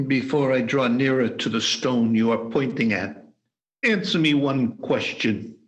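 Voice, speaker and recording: A repentant old miser pleads in slow, drawn-out phrases , as a man acts him out over a video call. The voice sits at an ordinary middle pitch and never hurries. It has the earnest feel of an amateur radio play. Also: close to an everyday voice, poor audio. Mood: solemn.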